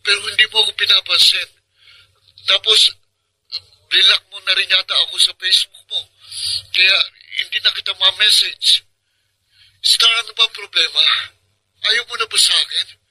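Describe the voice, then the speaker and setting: A man's voice: high-pitched, fairly even intonation, moderate pace, long pauses, bright, forceful tone, slightly rough, heavily acted, low-quality recording. A man playing a heartbroken young lover in a Filipino radio drama, earnest and plaintive. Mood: pleading, worried. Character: heartbroken young man pining for his absent girlfriend.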